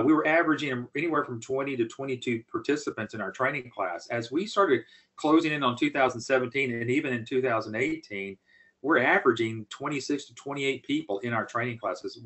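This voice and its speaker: A man's voice: medium pitch, fairly bright tone. Folksy man hosting an informal webinar. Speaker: webinar host, business coach.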